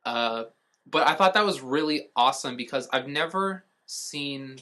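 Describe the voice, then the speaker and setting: A man's voice: mid-range voice, expressive, a little slow, sharp tone. A man co-hosting a podcast, explaining things in a casual, rambling way with offhand swearing. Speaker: podcast co-host. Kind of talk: casual chat.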